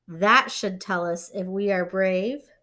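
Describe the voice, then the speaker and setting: A woman's voice: medium pitch, takes it slowly, pushed and bright. A woman voicing a friendly little frog in a children's storybook, earnest and simple, eager to seem brave.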